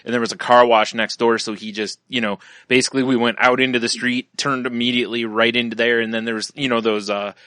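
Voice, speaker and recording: A man's voice: mid-range voice, little pitch movement, quick pace, hardly any pauses, sharp tone, dry recording, clean studio sound. A man co-hosting a podcast in casual chat, telling a story in an easygoing way. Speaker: podcast co-host.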